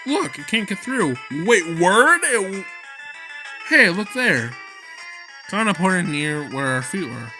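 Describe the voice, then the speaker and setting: A man's voice: high-pitched, big pitch swings, slow. A man doing a visual novel let's play, reading narration aloud and reacting in slangy, exclamatory casual speech. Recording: prominent background music. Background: music.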